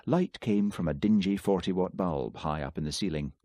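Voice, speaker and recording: A man narrating an audiobook in a measured, even storytelling manner. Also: sits low, no echo. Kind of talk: scripted narration.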